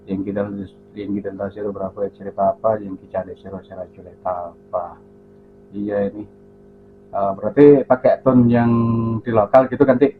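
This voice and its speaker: A man's voice: lowish voice, steady tone, normal speed, narrow, tinny audio, soft background music. A man chatting casually as a ham radio operator over a repeater, with call signs and radio jargon.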